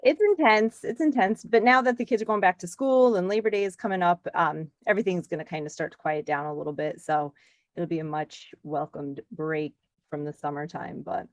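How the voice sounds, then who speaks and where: A woman in her forties from Connecticut, chatting as a podcast guest in a lively, talkative way with frequent laughs and filler words. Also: fairly low pitch, brisk, no room sound. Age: 40s. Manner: chatty, animated, laughs often, lots of "like". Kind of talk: casual interview chat.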